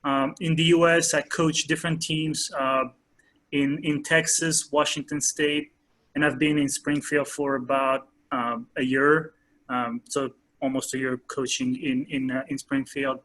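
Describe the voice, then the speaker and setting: A man in his thirties from France, a soccer coach answering interview questions in a hesitant way with many pauses and fillers. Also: mid-range voice, level tone, a bit bright, rough recording.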